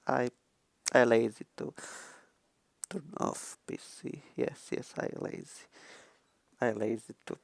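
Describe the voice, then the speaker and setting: Young male non-native English speaker, halting and very slow, gravelly, close dry mic. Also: mid-range voice, dry recording. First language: not English. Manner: halting broken English, many restarts. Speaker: tutorial vlogger. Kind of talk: rambling screen-recorded tutorial. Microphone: handheld microphone he keeps touching.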